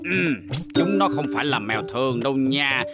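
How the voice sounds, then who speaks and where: A man's voice: mid-range voice, lively intonation, brisk, close to an everyday voice. A man voicing a boastful, bumbling, scheming gangster boss in a dubbed cartoon, pompous and commanding. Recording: loud music behind the voice.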